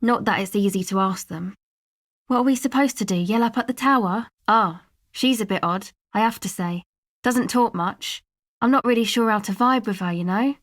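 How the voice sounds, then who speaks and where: A woman's voice: mid-range voice, no room sound, close to an everyday voice. A woman voicing a laid-back young woman from a street rave crew in a video game, talking casually in a dry, hesitant, slangy way. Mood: guarded, matter-of-fact, then casual, slightly wary. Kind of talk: video game character dialogue.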